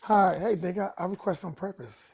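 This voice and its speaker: Raspy-voiced man in his sixties from Harlem. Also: high-pitched, normal speed, a little mellow. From Harlem, New York. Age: 60s. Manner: rambling, earnest, frequent restarts and "you know". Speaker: caller on a live stream. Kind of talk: personal story told on a call-in stream.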